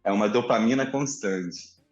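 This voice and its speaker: Chatty Carioca man, slightly low voice. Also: moderate pace, muffled recording, faint music behind. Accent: Carioca Brazilian Portuguese, slightly softened. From Rio de Janeiro, Brazil. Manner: chatty, reflective, animated asides. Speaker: interview guest, acupuncturist. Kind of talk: relaxed conversational storytelling. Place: apartment indoors. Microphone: headphones with mic on a video call.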